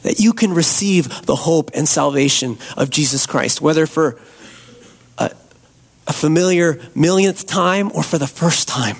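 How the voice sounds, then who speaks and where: A hoarse man preaching a Christmas Eve sermon and leading prayer as a pastor, slow and earnest. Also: fairly high pitch. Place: church.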